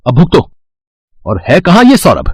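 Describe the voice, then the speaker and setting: A man's voice: fairly high pitch, huge pitch swings, moderate pace, husky, no echo, exaggerated voice. A man voicing a stern, well-off father in a cartoon moral story. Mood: angry, stern.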